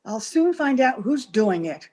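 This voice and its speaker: A woman's voice: on the high side, big pitch swings, unhurried. An actress playing a domineering, hard-headed, scornful woman, bossy and impatient, in the style of a 1930s radio horror play. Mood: wary, dismissive. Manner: bossy, sharp-tongued, impatient.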